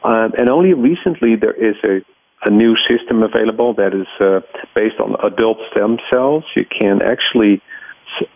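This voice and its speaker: Low, creaky middle-aged Dutch man. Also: normal speed, no echo, phone-quality sound. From Netherlands. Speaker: research scientist and laboratory head, interviewee. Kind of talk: explanatory interview answers.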